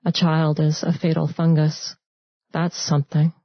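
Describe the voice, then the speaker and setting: A woman from Canada, a poet, reading her own verse in a restrained, deliberate manner. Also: deep voice, monotone. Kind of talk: poetry reading of own work. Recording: dry, slightly dull close recording.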